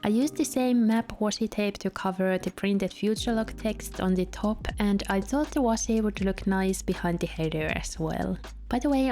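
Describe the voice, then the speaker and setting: A woman's voice: medium pitch, dark tone. A Finnish-speaking woman narrating her travel journal setup in a chatty voice-over, with light laughs. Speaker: journaling vlogger.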